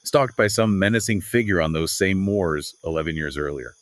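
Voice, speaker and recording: A man's voice: deep voice, normal speed, no echo. A man co-hosting a podcast, discussing literature conversationally with an emphatic, dramatic delivery. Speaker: podcast co-host.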